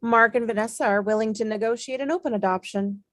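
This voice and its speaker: A woman's voice: a little high, fast talker, dry recording, natural voice. Woman playing a businesslike lawyer, close dry sound. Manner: formal, explanatory. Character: businesslike female adoption lawyer. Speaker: actor in a live table read. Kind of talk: screenplay table read.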